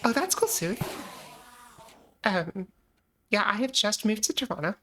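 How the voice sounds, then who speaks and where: An American woman chatting casually on a gaming livestream, answering chat with frequent pauses and ums. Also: mid-range voice, big pitch swings, fairly bright tone, a little hiss or hum. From United States. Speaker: streamer.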